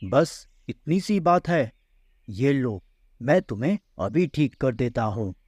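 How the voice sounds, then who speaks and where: A kindly forest holy man from a children's cartoon , played by a man. He is calm and reassuring, with a mid-range pitch and a dry, close sound. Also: slow, slightly rough, no room sound, exaggerated voice. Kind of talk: children's cartoon story acting.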